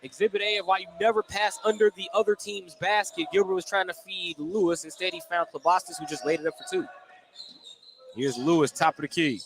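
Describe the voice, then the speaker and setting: A man's voice: sits high, steady tone, brisk. A man doing play-by-play for live basketball radio, describing each play in running detail. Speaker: play-by-play sports announcer. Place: indoor high school gym. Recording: noisy live gym broadcast.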